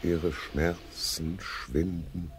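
An actor playing a deranged, vain theatre director and hypnotist in a radio crime drama, with a commanding, theatrical delivery. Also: male voice, low-pitched, fairly even intonation, drawn-out words, noisy background. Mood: hypnotic, commanding.

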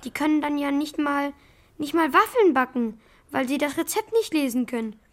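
A woman's voice: high-pitched, lively intonation, moderate pace, fairly bright tone. A woman playing a curious, worried schoolboy in a children's radio play, talking in a hesitant, slangy way.

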